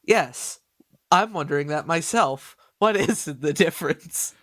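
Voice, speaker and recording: Nasal guy hosting a podcast, kinda high voice, super dry close mic, tripping over his words cause he's hyped. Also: unhurried, no echo. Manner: excitable, stumbles over words. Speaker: podcast host. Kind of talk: excited casual podcast chat.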